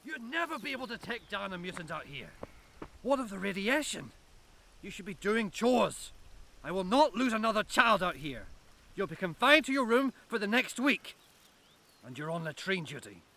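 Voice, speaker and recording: A man plays a stern Northern Irish headmaster in an audio drama, middle-aged and protective. His delivery is commanding and swings widely, with a gravelly creak and a hard, forceful edge. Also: high voice, big pitch swings, normal speed, a little hiss or hum, full character voice. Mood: stern scolding. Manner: authoritarian, scolding, commanding. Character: stern, protective headmaster guarding his school after apocalypse.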